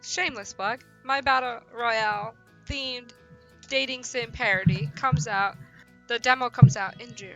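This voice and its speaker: A woman's voice: a little high, steady tone, a little slow, a bit bright, highs cut off. A woman on a casual livestream, rambling in a self-deprecating way and at times reading text aloud. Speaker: streamer and host. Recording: music behind the voice.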